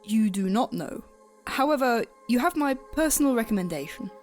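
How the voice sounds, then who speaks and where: A woman playing a composed, detached grim reaper in an audio drama, speaking with stiff, measured formality. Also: fairly high pitch, lively intonation, a little mellow, soft background music, lightly acted.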